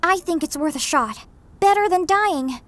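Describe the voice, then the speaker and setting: A woman's voice: very high voice, lively intonation, moderate pace, fairly bright tone. A woman voicing an anxious, stubborn young girl in an emotional, earnest manga dub performance. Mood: frantic, pleading. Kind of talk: anime-style manga dub acting.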